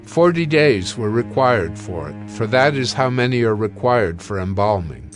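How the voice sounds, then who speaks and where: A man narrating scripture in a solemn, measured and formal scripted style. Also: fairly low pitch, sing-song, music in the background.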